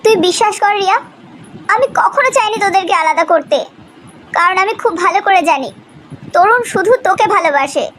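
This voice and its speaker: A man's voice: very high-pitched, expressive, a little fast, sharp tone, heavily acted. A man voicing an indignant, dramatic young woman in a cartoon love drama, theatrical and plaintive. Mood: pleading. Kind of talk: dramatic animated story dialogue.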